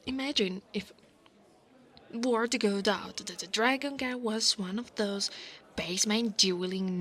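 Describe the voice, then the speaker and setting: Slow-talking, flustered dragon girl bully, acted by a woman. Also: medium pitch, fairly bright tone, close to an everyday voice. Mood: flustered, grudging. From Italy. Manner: flustered, haughty, stammering, often half-whispered. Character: insecure, defensive young female dragon student bully. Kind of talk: ASMR monster roleplay acting.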